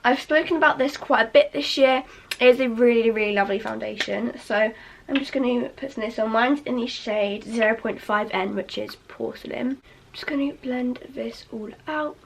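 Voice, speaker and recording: A young woman with a slightly hoarse, fading voice, talking through a casual makeup tutorial in a chatty, rambling way. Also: a little high, moderate pace, a little hiss or hum. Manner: chatty, rambling with many fillers. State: losing her voice, slightly hoarse. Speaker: beauty vlogger.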